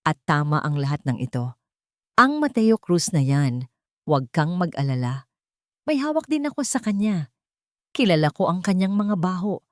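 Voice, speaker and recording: Scheming rich matriarch, woman narrator acting, sinister, gloating, animated pitch, audio-story villain. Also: moderate pace, long pauses, no room sound, clean studio sound. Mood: sinister, confident. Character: scheming wealthy villainous mother, smug and sinister.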